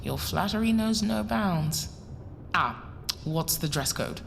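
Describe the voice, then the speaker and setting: Reverberant, low-voiced, polished wealthy woman played by an actress. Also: animated, slow, full character voice. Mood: polite, reserved. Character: wary, wealthy woman running secret smuggling ventures. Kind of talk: scripted audio drama acting. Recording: long reverb, clear rumbling background noise.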